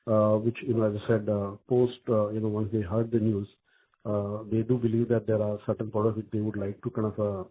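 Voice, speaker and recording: A man with an Indian accent answering as a company executive on an earnings call, speaking haltingly with many repeated filler phrases. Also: fairly low pitch, husky. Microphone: telephone conference call. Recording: muffled narrow phone line.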